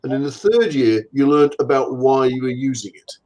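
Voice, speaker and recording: An older man raised in England chats as an interview guest, his voice sitting in a middle register. He wanders from anecdote to anecdote with plenty of restarts, poking gentle fun at himself. It sounds like a video call. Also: medium pitch, moderate pace, low-quality recording. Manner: rambling, anecdotal, self-deprecating. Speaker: guest anatomist. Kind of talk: casual conversational interview.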